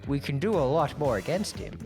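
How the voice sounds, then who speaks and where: A performer playing a dry, self-assured magic user in improvised comedy roleplay, unhurried and hedging. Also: medium pitch, very animated, a little mellow, faint music behind.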